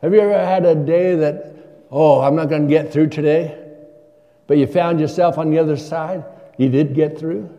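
A man's voice: on the high side, fairly even intonation, moderate pace, dark tone, lo-fi sound. An older man preaching a sermon from a church pulpit, asking his congregation questions in a conversational way. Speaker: guest preacher. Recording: long church reverb.

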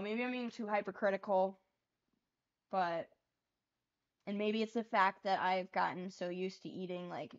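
A young woman streams from a small bedroom, chatting loosely and swearing as she paints. Her voice sits at a middling pitch and is turning a little hoarse. The lapel-mic sound is a touch dull and lo-fi. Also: a bit bright. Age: young adult. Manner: casual, swears freely, chatty and rambling. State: getting hoarse. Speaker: livestream host. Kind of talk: casual chat while painting cards.

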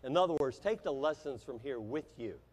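An American man in his fifties giving a keynote speech as a motivational business speaker, telling a personal story in a theatrical way with dramatic pauses. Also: medium pitch, lively intonation, darkish tone, breathy edge. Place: large convention hall stage. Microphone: lapel mic. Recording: echoey hall with long reverb.

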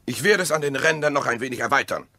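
An actor in a German radio play voicing an evasive, mistrustful husband with dry sarcasm and a clipped, deliberate delivery. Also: male voice, medium pitch, expressive, pushed and bright.

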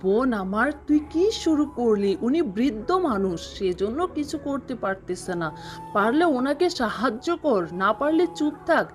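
A woman acts out a kind-hearted older sister in a cartoon fairy tale. She sounds soft, earnest and soothing, patient even when chiding. Faint music plays behind her. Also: on the high side, normal speed, pitched below the performer's own voice, natural voice. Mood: gently chiding. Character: gentle, patient elder sister, a kind girl.

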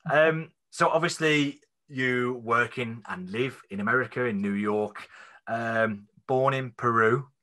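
A man hosting a fan podcast over a video call, relaxed, repeating words and using fillers. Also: medium pitch, takes it slowly. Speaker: podcast host. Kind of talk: casual podcast interview. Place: small room at home. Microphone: studio mic on video call.

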